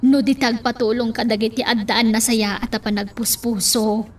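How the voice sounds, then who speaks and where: A woman's voice: on the high side, level tone, moderate pace, distant mic, lightly acted. A woman playing a practical, scheming household attendant in a radio drama. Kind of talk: Filipino radio drama acting.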